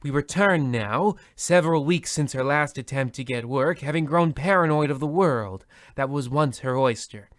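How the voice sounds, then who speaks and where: Mock old-time radio narrator, acted by a man: mid-pitched, dry booth sound, grandiose, pedantic, easily sidetracked. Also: dry recording, lightly acted. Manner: pompous, rambling, pedantic.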